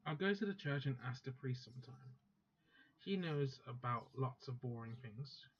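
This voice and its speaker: A man's voice: medium pitch, lively intonation, moderate pace, close to an everyday voice. A man reading aloud the first-person narration of a proud teenage girl magus from a visual novel, in a plain voice that stumbles and corrects itself. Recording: dull lo-fi sound, faint music.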